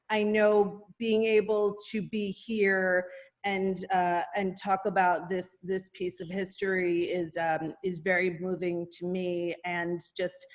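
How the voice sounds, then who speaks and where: A woman interviewing an author, speaking earnestly and haltingly, with many fillers and restarts. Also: medium pitch. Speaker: interviewer, radio host. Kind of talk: conversational interview.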